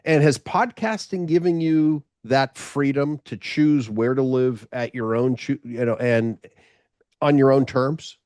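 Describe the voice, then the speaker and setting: A man's voice: mid-range voice, a little slow, darkish tone, no room sound. Middle-aged guy from LA hosting a podcast over a video call, rambly, dead dry sound. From Los Angeles. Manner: rambling, restarts sentences. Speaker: podcast host, former radio host. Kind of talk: casual interview chat.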